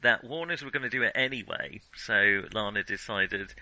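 A man's voice: fairly low pitch, moderate pace, sharp tone, no echo, rough recording. A middle-aged man, co-hosting a casual film discussion. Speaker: podcast co-host discussing films.

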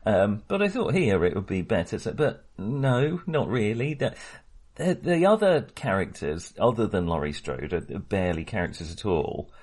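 A man's voice: lowish voice, very animated, moderate pace, a little mellow, husky. A man co-hosting a film podcast in casual discussion, talking hesitantly, often interrupting himself and rambling.

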